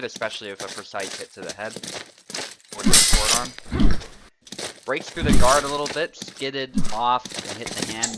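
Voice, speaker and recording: A man giving live commentary on a fencing video game tournament, calmly explaining technique as the fights play out. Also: mid-range voice. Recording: loud background noise.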